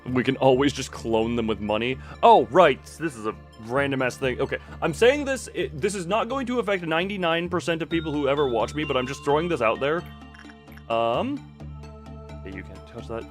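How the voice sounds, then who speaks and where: A man's voice: mid-range voice, animated, a little fast. Man, game streamer, mid-range voice, casual rambling commentary, wry asides, music playing behind. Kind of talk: casual livestream commentary over gameplay.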